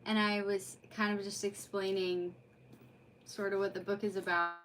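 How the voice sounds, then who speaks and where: A woman's voice: mid-range voice, flat delivery, moderate pace, a bit bright, slight background noise, low-quality recording. A woman chatting casually on a livestream in an effusive, rambling way, as host of a read-aloud. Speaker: streamer hosting a live read-aloud.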